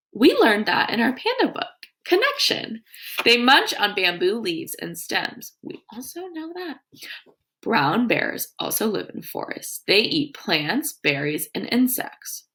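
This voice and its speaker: A woman teacher with a creaky voice reading aloud to young children in an instructive way, with her own comments and questions. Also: medium pitch, fairly bright tone.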